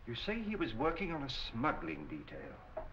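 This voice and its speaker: An actor playing a courteous, shrewd gentleman detective in a measured, articulate 1940s film style. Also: fairly high pitch, huge pitch swings, creaky, exaggerated voice. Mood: grave, helpful. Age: 50s. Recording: muffled, hissy 1940 film soundtrack.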